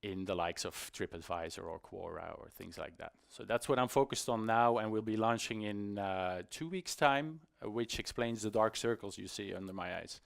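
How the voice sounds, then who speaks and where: A middle-aged man giving an informal conference presentation in a conversational, self-deprecating, slightly halting way. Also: lowish voice, vocal fry. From Belgium. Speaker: conference speaker, iOS developer. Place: conference stage in a hall.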